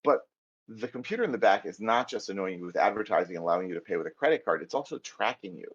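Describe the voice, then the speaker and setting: A man's voice: lowish voice, animated, fast talker, breathy edge, dull audio. A middle-aged man with an American accent, giving a chatty live coding lecture and thinking aloud as he types. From United States. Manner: chatty, self-deprecating, thinks aloud while typing. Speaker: programming instructor.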